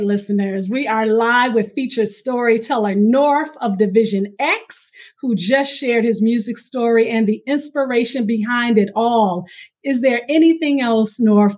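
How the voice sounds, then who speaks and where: A woman's voice: a little high, moderate pace, darkish tone. A woman hosting a live radio interview, speaking in an affirming, encouraging way as she questions her guest. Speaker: radio show host and interviewer.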